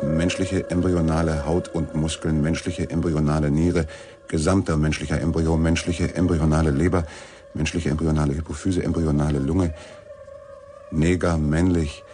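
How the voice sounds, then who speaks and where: An actor playing a dogged newspaper reporter in a radio drama, asking terse, skeptical questions in with a husky voice. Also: male voice, extremely low pitch, flat delivery, moderate pace, background noise. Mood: reading, puzzled. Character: dogged male newspaper reporter chasing a scandal.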